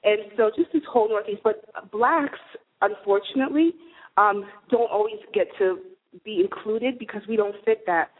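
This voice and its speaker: A woman's voice: medium pitch, moderate pace, narrow, tinny audio. A woman hosting a talk radio show, chatty and earnest, introducing topics and guests. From New Jersey, USA. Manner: chatty, earnest, warm asides.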